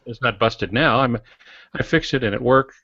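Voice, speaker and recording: A man in his sixties co-hosting a casual podcast over an online call, explaining things in a wry, measured way. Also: mid-range voice, sharp tone, slightly rough, dry recording. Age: 60s. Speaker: podcast co-host and writer. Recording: dull, dry, lo-fi online call audio.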